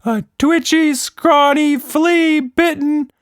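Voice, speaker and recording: A man voicing an excitable, exclamatory male magical monster with many arms, in a children's story. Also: very high voice, takes it slowly, dry recording, studio quality, pitched above the performer's own voice. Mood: exasperated. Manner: enthusiastic, exclamatory.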